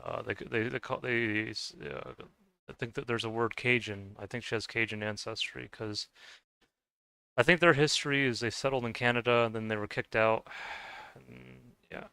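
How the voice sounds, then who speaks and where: A man's voice: mid-range voice, moderate pace, gravelly, bright, forceful tone, clean tone, dry recording. A young man with a slight Scottish-tinged accent, chatting casually and hesitantly while playing a game, often restarting and trailing off. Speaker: gaming video creator. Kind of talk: casual rambling commentary over gameplay.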